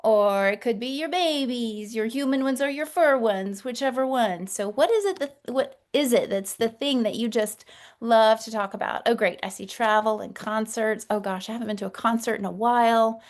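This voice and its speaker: Warm, encouraging woman coaching over video. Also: a little high, moderate pace. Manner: warm, gracious and encouraging, with small repeats. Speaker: communication coach and speaker. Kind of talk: interactive webinar lesson. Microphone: video call.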